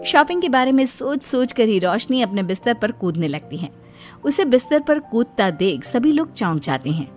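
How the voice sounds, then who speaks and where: Narrate as a woman storyteller: fairly high voice, lively intonation, telling a scripted cartoon tale. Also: moderate pace, soft background music. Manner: expressive storytelling.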